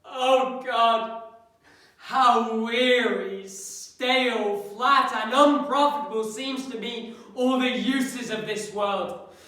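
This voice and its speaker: A man's voice: very high voice, steady tone, slow, sharp tone, pitched above the performer's own voice, full character voice. A man acting an anguished, bitter young prince in a classical Shakespearean soliloquy, declamatory and impassioned. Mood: anguished, despairing. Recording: very echoey room, slightly distant mic.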